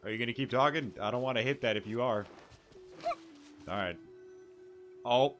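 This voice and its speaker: A man's voice: medium pitch, normal speed. Man gaming aloud over music. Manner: thinking aloud in fragments. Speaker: gaming streamer. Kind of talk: casual let's play commentary.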